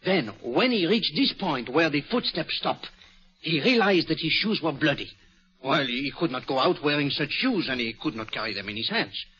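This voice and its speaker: A fussy, shrewd detective with a French accent, dropping French words into precise, flowery speech. His voice rides a lively, slightly high pitch with a bright edge. It comes through a muffled old radio broadcast. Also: normal speed. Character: fussy, shrewd Belgian male detective, middle-aged. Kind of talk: 1940s radio acting.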